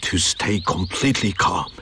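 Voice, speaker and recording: A man voicing an ancient ninja master who narrates a game's story in a theatrical storybook manner, wise, fond and wistful, with measured dramatic pauses. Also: deep voice, animated, pushed and bright, slight background noise, exaggerated voice. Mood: fond, reflective. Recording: music behind the voice. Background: music.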